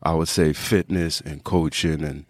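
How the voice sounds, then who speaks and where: A man's voice: rumbling low, steady tone, measured pace, no room sound, studio quality. A man from Trinidad, a fitness coach and podcast guest, telling his own story in a casual, reflective, conversational way.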